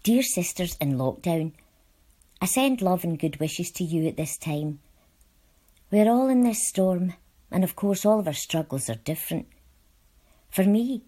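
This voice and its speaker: A woman reads a written letter aloud in a soft-edged, dark and mellow tone. Her voice sits a little low and has a husky grain. The delivery is measured and earnest. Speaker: volunteer reader. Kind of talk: reading letters aloud, scripted.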